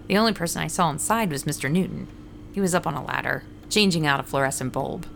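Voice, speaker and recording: Everyday mother, notably low voice, gentle explanatory delivery, audio drama. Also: fast talker, vocal fry, slight background noise. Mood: weary narration. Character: apologetic working mother Jess, narrating her day. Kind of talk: scripted audio drama narration and dialogue.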